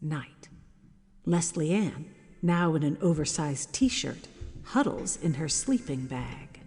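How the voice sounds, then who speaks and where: A woman playing a hushed narrator who reads out screenplay scene directions in an audio drama. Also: deep voice, very animated, slow, slightly rough, faint music behind, exaggerated voice. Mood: hushed, deliberate. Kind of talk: scripted stage-direction narration. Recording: reverberant hall-like echo.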